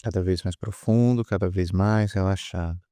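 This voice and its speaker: Low brazilian guy doing guided meditation, soothing repetitive phrases, close dry mic. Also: steady tone, moderate pace, dark tone, no echo. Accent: Brazilian Portuguese. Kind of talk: guided meditation, hypnotic induction.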